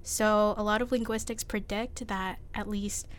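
A woman's voice: medium pitch, fairly even intonation, unhurried, slight background noise. A young woman hosting a casual student podcast, chatty and often restarting her sentences. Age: young adult. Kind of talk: casual classroom-style podcast chat.

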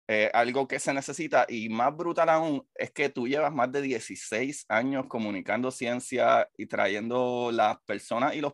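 A man's voice: medium pitch, a bit bright, dry recording. A man hosts a casual interview in a mid-range voice. He sounds eager and upbeat but hesitates, leaning on fillers, recorded dry and close with no room sound. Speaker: interview host.

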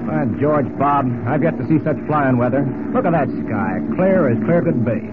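A man's voice: fairly high pitch, normal speed, narrow, tinny audio, natural voice. An actor playing a chatty, folksy male airline pilot in a 1940s radio drama. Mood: breezy, upbeat. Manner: folksy, breezy, conversational. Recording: very noisy, thin old radio recording.

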